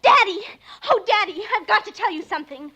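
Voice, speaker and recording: A woman's voice: extremely high pitch, expressive, brisk, pushed and bright, slight background noise, heavily acted. A young female actor playing an earnest, desperate little girl in a breathless, pleading 1940s radio drama style. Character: earnest, desperate little girl telling her scary story. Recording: 1940s radio broadcast with music.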